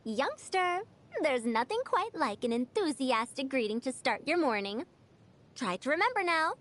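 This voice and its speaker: An actress playing a proud, bossy ancient scholar who sounds youthful, lecturing theatrically as a video game character. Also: female voice, high-pitched, very animated, moderate pace, a bit bright.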